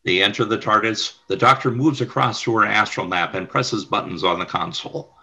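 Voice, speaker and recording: A man's voice: lowish voice, fairly quick, bright, forceful tone, slight background noise, low-quality recording. A man narrating a radio play from a script, describing the action.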